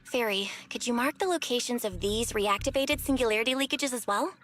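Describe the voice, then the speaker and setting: A woman playing a caring, slightly bossy young woman in a video game, speaking in an expressive, conversational way. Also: fairly high pitch, a little fast, faint music behind, natural voice. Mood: thoughtful, curious.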